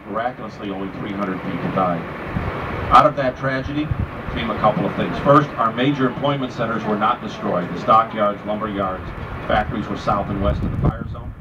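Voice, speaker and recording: A man's voice: fairly low pitch, a little fast. An older, retired man giving live architecture tour commentary on an open boat, telling it like a storyteller with dry humor. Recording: distant muffled mic, loud wind and engine noise. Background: loud wind, outdoor city and boat noise.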